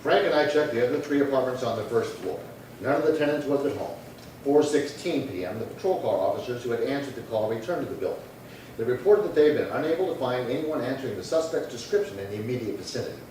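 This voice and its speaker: A man playing a matter-of-fact, terse police detective in a 1950s radio crime drama, deadpan and procedural. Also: medium pitch, quick pace, close to an everyday voice. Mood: businesslike. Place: live theatre stage reading. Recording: echoey hall, distant mic, faint noise.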